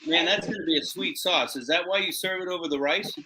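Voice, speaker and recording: A man's voice: on the high side, fast talker, background noise. A man hosting a live cooking show, chatting casually in slang and talking up the food as he cooks.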